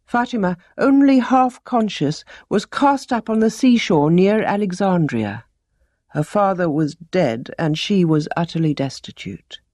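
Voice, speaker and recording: A woman narrating a traditional teaching tale as a storyteller, with measured storybook delivery. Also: fairly low pitch, animated, mellow, no room sound, clean studio sound. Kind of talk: scripted narration of teaching tales.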